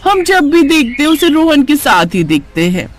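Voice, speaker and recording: A man's voice: very high-pitched, sing-song, moderate pace, noisy background, voice pushed up. A man voicing gossiping, judgmental middle-aged neighbourhood women in a cartoon story, with an insinuating manner. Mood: sly insinuation. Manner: insinuating, disapproving. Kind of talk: cartoon story character acting.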